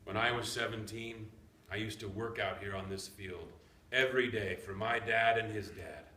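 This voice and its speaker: A man's voice: fairly low pitch, fairly even intonation, moderate pace, sharp tone. Man playing a folksy old grandpa in an echoey room. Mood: wistful. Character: warm, teasing, nostalgic old grandfather in the countryside. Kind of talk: staged play reading. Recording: echoey room, background noise.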